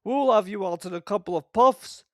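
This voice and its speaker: A man's voice: high voice, normal speed, no echo, clean studio sound, voice pushed up, close to an everyday voice. A man voicing a pompous, hearty big express engine in a grand, blustering manner. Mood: mocking amusement.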